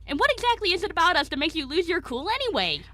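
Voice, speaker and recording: A woman playing a cheeky, defiant eighteen-year-old queer young man in an amateur radio play, arguing in an animated way. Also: very high voice, fast talker, heavily acted. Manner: animated, argumentative.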